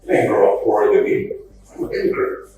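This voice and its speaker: A man from Palestine giving a heated, rambling statement in court, full of slang, his voice jumping up in pitch. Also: little pitch movement, fast, dark tone, slight background noise. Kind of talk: emotional victim impact statement in court. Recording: echoey courtroom from a distance, music underneath.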